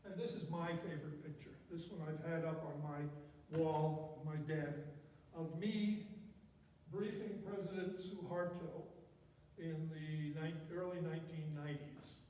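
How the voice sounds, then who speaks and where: An older man giving a relaxed slide talk about his career, with asides and self-corrections. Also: on the high side, unhurried, slight background noise. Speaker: retired UN program director giving a talk. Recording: echoey hall, speaker far from mic.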